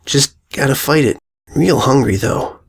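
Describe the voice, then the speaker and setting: A man's voice: mid-range voice, measured pace, vocal fry, husky, pitched above the performer's own voice. Man voicing a weary, sickly little filly. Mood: weak, groaning. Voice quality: scratchy, weak. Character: sick, weary, hungry young filly, anxious.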